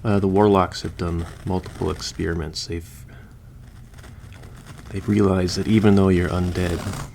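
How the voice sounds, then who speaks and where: A man's voice: sits low, flat delivery, a little slow, gravelly, a little mellow, a little hiss or hum. A man playing a chatty, easygoing surgeon who patches up the undead, explaining casually as he works in a listener roleplay.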